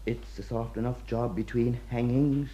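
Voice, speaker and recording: An actor playing an aging, devout Irish prison warder in a radio play, deferential and ruminative, with an Irish accent. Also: male voice, mid-range voice, steady tone, moderate pace, mellow, lightly acted. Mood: gently wry. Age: middle-aged. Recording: dull, muffled radio recording.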